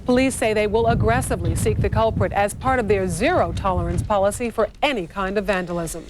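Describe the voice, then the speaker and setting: A woman with an American accent giving a scripted news report outdoors. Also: brisk. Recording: clear outdoor traffic rumble.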